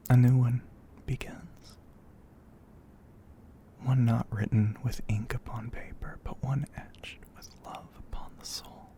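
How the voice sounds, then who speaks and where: Slow, whispering man, romantic ASMR narration. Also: medium pitch, flat delivery. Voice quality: breathy whisper. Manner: slow, hushed, poetic delivery with frequent pauses.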